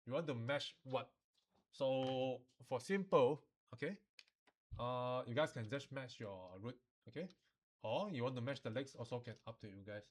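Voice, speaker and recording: Man giving an informal software demo. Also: medium pitch, normal speed. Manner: colloquial, with sentence-final particles and Chinese-English code-switching. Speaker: software tutorial instructor.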